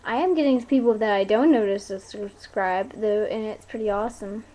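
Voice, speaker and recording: A woman's voice: medium pitch, normal speed, dark tone. A young woman chatting casually into a webcam in a bedroom, rambling in an informal, playful, easily sidetracked way. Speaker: video blogger. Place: small bedroom, indoors. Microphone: webcam mic at close range. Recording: noisy cheap webcam audio.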